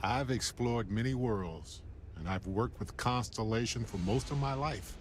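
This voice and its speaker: A man playing a warm, sincere middle-aged space explorer in a video game, speaking in a measured, wistful way. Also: medium pitch, a little hiss or hum, close to an everyday voice. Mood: tender, sincere. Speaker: video game character actor. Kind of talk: dramatic video game dialogue.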